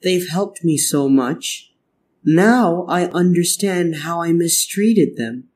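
A man's voice: fairly high pitch, takes it slowly, darkish tone, pitched above the performer's own voice. A man voicing a spoiled, emphatic little princess in a children's storybook read-aloud. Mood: pleading. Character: spoiled bossy little princess girl, later contrite.

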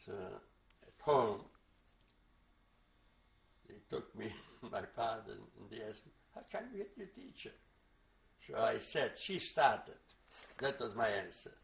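An older man, a native Hungarian speaker, giving oral history testimony in a halting, reflective way with many restarts. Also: lowish voice, animated, gravelly. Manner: slow, reflective recall. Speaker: interviewee.

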